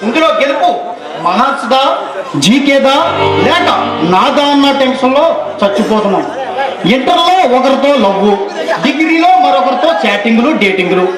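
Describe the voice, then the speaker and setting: A man's voice: very high voice, lively intonation, normal speed, pauses often, a crowd in the background. A man acting a lovelorn, boastful young suitor in a Telugu stage play, with theatrical, declamatory delivery. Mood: anxious, taunting. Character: lovelorn, boastful young suitor courting his female cousin. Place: stage in front of audience. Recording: echoey stage hall, audience noise behind.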